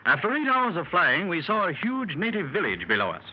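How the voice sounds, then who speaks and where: A genteel middle-aged explorer who tells his adventure to gentlemen at a club in polished, formal phrases. His voice is a little high and swoops up and down expressively. It comes through a dull, muffled 1930s radio transcription with faint hiss. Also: huge pitch swings, fairly quick, exaggerated voice. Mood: measured. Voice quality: formal, clubby storyteller. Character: learned explorer Doctor Roberts, middle-aged man. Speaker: explorer recounting his expedition. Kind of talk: 1930s radio drama acting.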